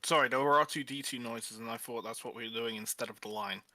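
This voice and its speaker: A man with a bright, forceful voice narrates a script among others. He keeps it casual and slips into joking asides. The sound is dry, with little room to it. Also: medium pitch, fast talker, no echo. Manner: jokey, breaks from the script to banter. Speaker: narrator in a group script reading.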